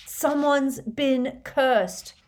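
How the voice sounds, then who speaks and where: A woman's voice: mid-range voice, lively intonation, a little slow, breathy edge. Lady doing a teen schoolboy, urgent and brave, short clipped lines, audiobook style.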